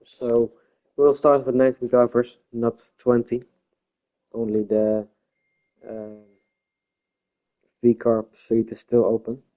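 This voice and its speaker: A Dutch-speaking man commentating a live-streamed online race league in English, halting and searching for words with many fillers. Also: mid-range voice, flat delivery, dark tone, low-quality recording. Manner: calls himself a bit boring-voiced.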